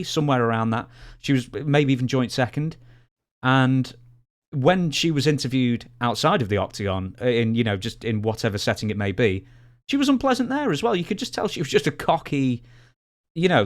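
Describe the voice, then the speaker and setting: A man co-hosting a podcast, discussing sports casually and building his points emphatically, step by step. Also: mid-range voice. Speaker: podcast co-host.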